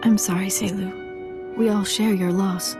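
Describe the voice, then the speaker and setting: An actress playing a sympathetic, dignified village leader in a video game, formal and gentle. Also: female voice, medium pitch, fairly even intonation, a little slow, music in the background, natural voice. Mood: solemn gratitude.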